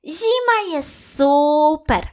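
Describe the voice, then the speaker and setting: A woman's voice: high-pitched, lively intonation, extremely slow, a little mellow, pitched above the performer's own voice, full character voice. A woman playing an eager, impatient little girl, a childlike big sister in a children's audio fairy tale.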